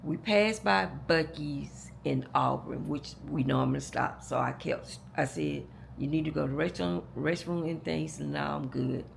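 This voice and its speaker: A hoarse woman, telling a long story to her viewers in a casual, rambling way, with many restarts. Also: deep voice, moderate pace, slight background noise. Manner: rambling, repeats and restarts, talks straight to "y'all". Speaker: vlogger.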